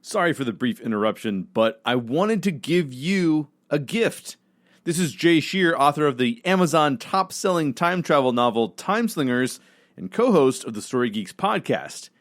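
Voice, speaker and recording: A man's voice: a little high, big pitch swings, moderate pace. A man speaking as a podcast co-host, reading a scripted promo in a conversational way to listeners.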